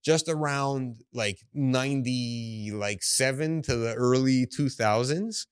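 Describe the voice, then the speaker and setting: A man's voice: mid-range voice, takes it slowly, smooth, dry recording, clean studio sound. A man from Ontario telling stories in a casual podcast chat, rambling with lots of fillers and laughs. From Woodbridge, Ontario, Canada. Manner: rambling, full of "like" and restarts, laughing often. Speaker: comedian guest.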